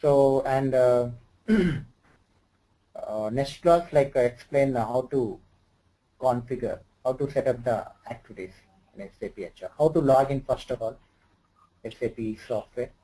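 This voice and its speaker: A man with an Indian English accent explains things one-on-one as an instructor. His voice is mellow and soft-edged, and he speaks haltingly with lots of fillers and loose grammar. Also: mid-range voice, low-quality recording. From Andhra Pradesh, India. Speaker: SAP HR instructor. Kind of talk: informal one-on-one tutoring.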